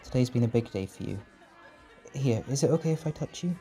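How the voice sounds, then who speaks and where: A man acts out a protective, tender caretaker in a comfort roleplay. His voice is mellow and mid-pitched, soft and reassuring. Music and party chatter sit behind him. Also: lively intonation, fairly quick, close to an everyday voice. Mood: tender, soothing. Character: gentle, protective male caretaker comforting an overwhelmed partner. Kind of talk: roleplay comfort audio, intimate acting.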